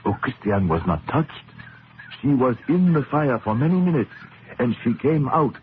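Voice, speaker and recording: An actor in an old radio drama playing an earnest, mystical mechanic who speaks halting foreign-sounding English in short, simple sentences. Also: male voice, medium pitch, very animated, close to an everyday voice. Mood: solemn conviction. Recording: noisy muffled narrowband old radio broadcast.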